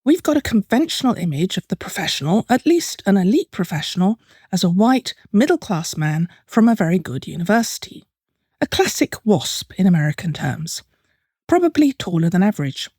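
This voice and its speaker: A woman's voice: mid-range voice, sing-song, a little fast, a little mellow, breathy edge, no room sound. Articulate woman host, dry close sound. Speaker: podcast host and interviewer. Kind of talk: scripted narration then interview questions.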